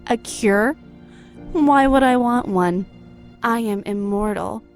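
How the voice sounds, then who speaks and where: A woman's voice: fairly high pitch, slow pace, faint music behind. A woman playing a cold, taunting vampire who was once a loving wife, in an audio drama. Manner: taunting, accusing. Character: cold, mocking young wife turned immortal vampire.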